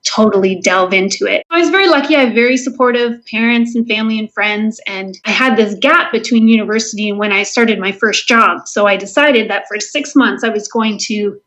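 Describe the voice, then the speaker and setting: A woman's voice: on the high side, brisk, few pauses, fairly bright tone, rough recording. A woman from Southern Ontario with a Canadian accent, answering interview questions as an author in an earnest, enthusiastic, conversational way.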